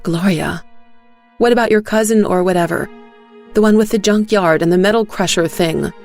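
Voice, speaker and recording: A woman's voice: mid-range voice, normal speed, vocal fry, darkish tone, faint music behind, lightly acted. A woman voicing a tense, resolute woman covering up a crime in an audio drama, speaking with controlled urgency. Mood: pressing, frustrated. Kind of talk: audio drama character acting.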